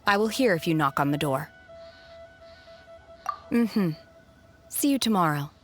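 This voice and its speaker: Calm, blunt young woman for a game: slightly low voice, detached and formal. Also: measured pace, soft background music. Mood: calm, reserved. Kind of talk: video game character dialogue.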